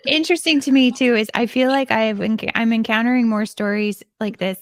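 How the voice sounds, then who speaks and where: A woman's voice: a little high, steady tone, fairly quick, dry recording, studio quality. A woman hosting a live conversation, affirming her guest with frequent agreements before explaining her view. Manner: affirming, lots of agreeing interjections. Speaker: host. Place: live event stage.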